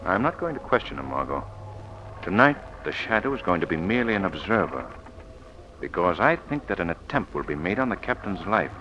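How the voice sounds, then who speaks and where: A resolute gentleman detective with a lowish, animated voice. It is vintage radio acting on a muffled old recording. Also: fairly quick, a little hiss or hum, full character voice. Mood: composed, grave. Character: suave, resolute gentleman sleuth.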